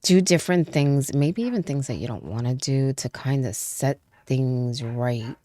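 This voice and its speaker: A woman giving a casual tarot reading, chatty, with frequent fillers, trailing pauses and light laughs, and sounding stuffy from a cold. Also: a bass voice, expressive, mellow, dry recording. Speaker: tarot reader.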